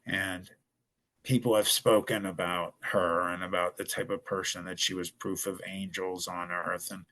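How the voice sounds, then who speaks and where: A man's voice: low-pitched, little pitch movement, measured pace, pushed and bright, rough recording. A man from Ohio, a podcast guest, tells his story in an earnest, rambling way, often restarting his sentences. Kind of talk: casual storytelling.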